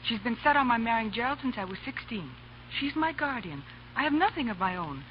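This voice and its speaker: A woman's voice: a little high, sing-song, fairly quick. A woman acting an anxious young society fiancée in a 1930s radio play, genteel and pleading in manner. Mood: pleading, torn. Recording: dull, faintly noisy old radio recording.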